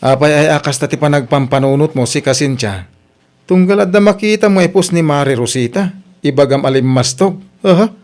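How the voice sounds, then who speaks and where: A man playing a skeptical, teasing Ilocano husband in a radio comedy drama, with an Ilocano Filipino accent and an animated, mocking manner. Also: fairly high pitch, brisk, close to an everyday voice.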